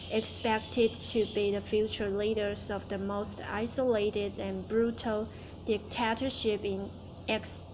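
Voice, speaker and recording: A woman's voice: mid-range voice, noisy background. A woman student giving a prepared class speech, reciting it carefully and restarting words she stumbles on.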